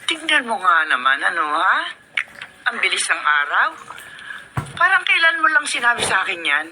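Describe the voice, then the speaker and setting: A woman playing a controlling aunt in a radio drama, breezily reassuring and smooth-talking. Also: mid-range voice, big pitch swings, normal speed, pushed and bright. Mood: sly, reassuring. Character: controlling aunt sweetly promising money to her niece. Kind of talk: Filipino radio drama acting. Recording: noisy radio drama recording.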